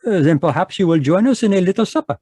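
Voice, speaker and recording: A man's voice: high-pitched, lively intonation, fast, warm tone, breathy edge, no echo. A man voicing a smug Belgian detective with a put-on French accent in an old-time radio play, pompous and polite. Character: fussy middle-aged Belgian male detective, smug. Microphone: video call microphone.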